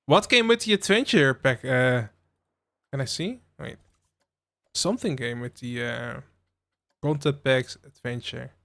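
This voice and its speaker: A young man from the Netherlands hosting a relaxed game livestream, rambling with lots of fillers and asides to his chat. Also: mid-range voice, measured pace, creaky, pauses often. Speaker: livestream host. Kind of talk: casual live-stream chat while playing a game.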